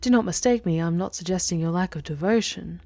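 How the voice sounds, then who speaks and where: A woman playing a charming demon queen who guides a traveller through hell in an ASMR roleplay, speaking in a formal, theatrical, deliberate way with breathy near-whispers. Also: fairly low pitch, a little mellow, no echo, soft background music. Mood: teasing, measured. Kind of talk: ASMR roleplay, dramatic monologue addressed to the listener.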